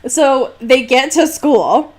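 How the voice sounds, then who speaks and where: Female podcast co-host. Higher voice, very animated intonation, casual and irreverent. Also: slow pace, low-quality recording. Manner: irreverent, sarcastic, casually sweary. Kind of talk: casual book discussion podcast chat.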